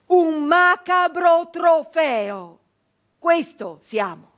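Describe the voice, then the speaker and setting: An actress playing a captured nineteenth-century brigand woman, wounded, bitter and doomed, in an intense, halting theatrical monologue. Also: very high voice, lively intonation, a bit bright, highs cut off, exaggerated voice. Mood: bitter, indignant. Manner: intense, halting delivery with repeated phrases.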